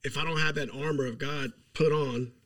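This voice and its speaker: A man's voice: on the high side, fairly even intonation, normal speed, a bit bright. A man chatting casually as an interview guest, earnest and informal, in a buddy-like way. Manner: informal, earnest, peppers talk with 'man' and 'bro'. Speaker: interview guest, band leader. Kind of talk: casual chat.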